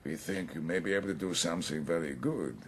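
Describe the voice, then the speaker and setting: An actor playing a sly, insinuating Prussian police official with a German accent and husky voice in a radio drama. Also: male voice, low-pitched, fast talker.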